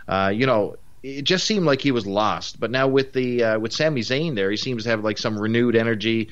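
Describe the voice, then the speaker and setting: A man's voice: mid-range voice, brisk. A man from Newfoundland with a Newfoundland accent, chatting casually on a podcast in an opinionated, rambling way. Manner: opinionated, rambling, frequent fillers. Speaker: podcast co-host. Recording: muffled lo-fi audio.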